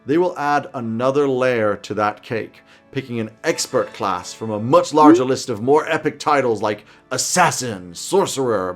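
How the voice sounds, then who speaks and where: A man hosts a roleplaying video from a small home office. His mid-pitched delivery is emphatic and enthusiastic. Music plays behind his scripted commentary. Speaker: tabletop roleplaying video host. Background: background music.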